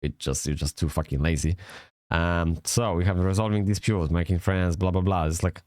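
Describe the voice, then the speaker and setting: A man's voice: deep voice, steady tone, moderate pace, a little mellow, smooth, no room sound, studio quality. A man from Poland with a Polish accent, rambling casually through an app review, swearing often and correcting himself as he goes. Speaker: vlogger reviewing a language app.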